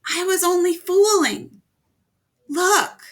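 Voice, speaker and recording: A woman's voice: very high-pitched, slow, pitched above the performer's own voice. A woman voicing an eager little boy who is waiting for his lost tooth to be collected. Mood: pleading.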